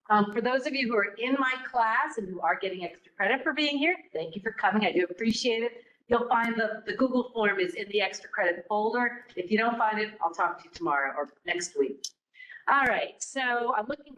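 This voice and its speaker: A woman's voice: mid-range voice, a bit bright, low-quality recording. Woman presenting to a classroom. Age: middle-aged. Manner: conversational, encouraging, with rhetorical questions and fillers. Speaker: psychology program director giving a talk. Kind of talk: informal presentation to students. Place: classroom with a live audience. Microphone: no mic on, room recording.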